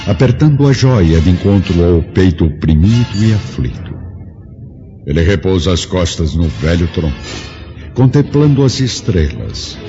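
Very deep, dark-voiced solemn male narrator. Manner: solemn, literary. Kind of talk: dramatic scripted radio drama narration. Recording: dull lo-fi radio drama, music behind.

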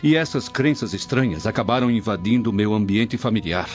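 A man's voice: fairly low pitch, lively intonation, fairly quick, natural voice. A man playing a proud, traditional, prosperous Roman in a radio drama, formal and earnest in delivery. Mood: troubled. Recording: muffled radio drama with background music.

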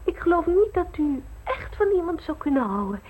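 A woman's voice: extremely high pitch, very animated, normal speed, highs cut off. An actress playing a genteel eighteen-year-old society girl in an old radio play, prim and easily flustered. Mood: unsettled, wondering. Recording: old radio recording with clear noise.

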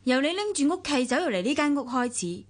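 A woman's voice: high voice, big pitch swings, fast talker. A woman with a Hong Kong accent acting a weary, proud young wife in a radio drama, with a cutting, sardonic manner. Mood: cool, cutting. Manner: cutting, sarcastic. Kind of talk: Cantonese radio drama acting, monologue and dialogue.

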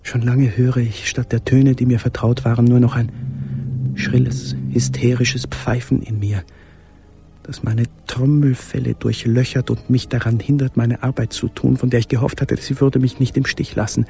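An actor in a radio drama monologue playing a despairing, yearning man, speaking in measured, literary and intense phrases. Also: mid-range voice, flat delivery, gravelly, warm tone, lightly acted. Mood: anguished. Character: despairing man in hiding, yearning for a lost companion.

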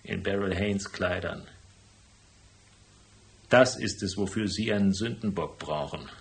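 A cool private detective from a radio crime drama. His voice sits fairly low with heavy creak. He is laconic and shrewd, laying things out dryly and deliberately. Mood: pressing, blunt. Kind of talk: German radio crime drama acting with first-person narration.

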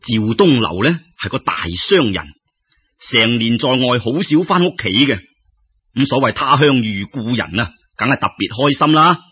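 A man telling folk tales as an expressive storyteller, in a conversational way that addresses listeners directly. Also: mid-range voice, moderate pace, husky, muffled recording.

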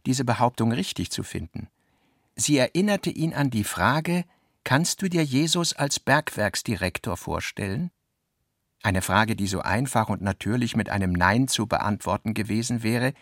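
A man narrating a literary radio drama in a deliberate manner, with a gravelly voice. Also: medium pitch, no echo, clean studio sound.

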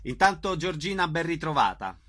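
A man with a fairly high voice hosts a radio interview. He puts questions and reads out details in a friendly manner, with some hesitation. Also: sing-song, normal speed, pushed and bright. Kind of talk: interview hosting.

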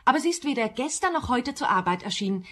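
A woman's voice: a little high, expressive, fast, fairly bright tone. An actress playing a naive, apathetic, jobless woman who talks in a matter-of-fact, literal way in a radio play.

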